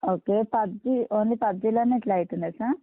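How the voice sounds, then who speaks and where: A woman's voice: medium pitch, a little fast, no echo. A woman working as a customer care agent, speaking politely in support phrases, apologetic and reassuring. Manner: apologetic, reassuring, deferential with frequent "sir". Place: on a phone line. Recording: tinny, narrow phone call recording.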